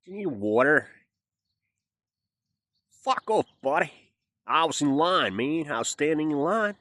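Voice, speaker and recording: A man doing a brash high-pitched New Yorker. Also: sing-song, unhurried, no room sound, clean studio sound. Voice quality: loud, gruff and quarrelsome.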